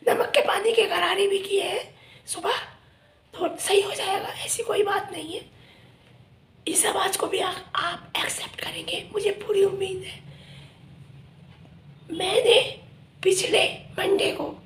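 A middle-aged woman vlogging casually to camera with a hoarse, lost voice, talking emphatically in a half-whisper from a small room. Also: extremely high pitch, fairly even intonation, unhurried, pauses often, a bit bright, roomy sound, a little hiss or hum. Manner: emphatic, whispery from a sore throat.

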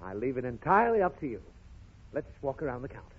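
A man's voice: medium pitch, very animated, fast, slightly rough. An actor playing an exasperated, coaxing father of a naughty little girl in a 1930s radio comedy. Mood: gentle, touched. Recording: muffled, noisy 1930s radio recording.

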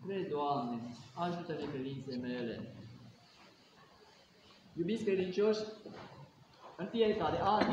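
High-voiced Romanian priest preaching in echoing church. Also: male voice, background noise. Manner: exhorting, rhetorical questions, earnest preaching cadence. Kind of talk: sermon. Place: large ornate church, indoors. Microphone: no mic, camera a few steps away. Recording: very echoey church, dull sound.

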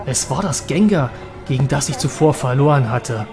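A man's voice: medium pitch, lively intonation, normal speed, over music, natural voice. A man playing a haunted, desperate young monster trainer, telling his story in a horror audio drama. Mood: haunted dread. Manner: dramatic, anguished. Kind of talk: first-person horror audio drama narration.

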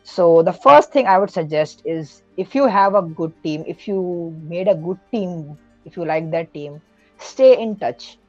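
A man's voice: high-pitched, animated, unhurried, muffled recording, soft background music. A man speaking as a podcast guest, giving earnest, explanatory advice in a casual way, often correcting himself mid-sentence.

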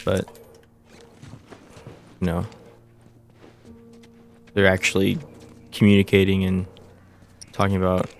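A man with a stuffy, nasal voice giving relaxed, chatty commentary over a game. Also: deep voice, slow pace, pauses often, a little hiss or hum. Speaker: gameplay commentator. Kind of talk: casual let's play commentary.

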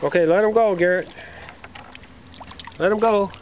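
A man's voice: sits high, unhurried. A man filming his young son outdoors by a park pond, cheering him on and praising each catch. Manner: encouraging, praising a small child. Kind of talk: home video commentary. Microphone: handheld camcorder. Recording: camcorder audio with music over it.

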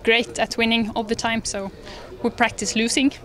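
Young Norwegian woman, fairly high voice. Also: little pitch movement, moderate pace, a bit bright. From Norway. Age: young adult. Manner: hesitant, good-humoured, laughs easily. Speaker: ski jumper being interviewed. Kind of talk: casual interview answers. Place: large indoor lobby. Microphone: handheld reporter microphone.

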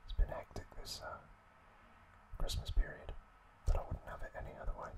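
A man whispering gently and unhurriedly to his listeners as an ASMR host. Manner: gentle, unhurried, softly spoken direct address to listeners.

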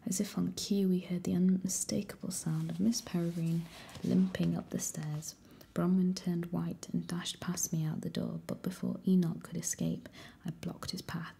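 A woman reading a first-person story aloud in a steady, hushed whisper. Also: fairly low pitch, moderate pace, creaky. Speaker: audiobook reader.

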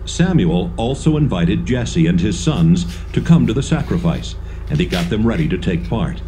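A man's voice: lowish voice, big pitch swings, moderate pace, warm tone, a little hiss or hum. A man narrating a dramatised audio Bible from a script.

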